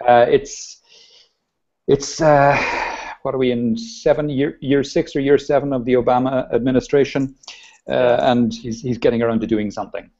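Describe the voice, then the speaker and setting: A man hosting a casual video call show, talking in an easygoing, halting way with many restarts. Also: mid-range voice, steady tone, dark tone. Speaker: host. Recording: dull lo-fi video call audio.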